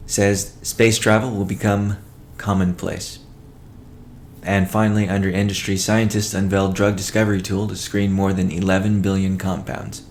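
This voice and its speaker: A man presenting a company update as a chief executive, in a measured, matter-of-fact way with halting phrasing. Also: fairly low pitch, a little hiss or hum. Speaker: company CEO hosting a weekly call. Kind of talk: business update presentation, partly read from notes.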